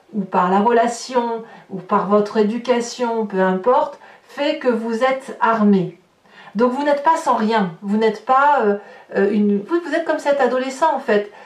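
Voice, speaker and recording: In a clearly echoey room, a woman speaks a little distance from the microphone. Her voice sits on the high side as she gives tarot guidance in a reflective, halting way, often searching for the right word and rephrasing. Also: darkish tone. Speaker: tarot reader hosting an online horoscope reading. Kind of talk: spontaneous explanatory tarot guidance. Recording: roomy echo, distant microphone.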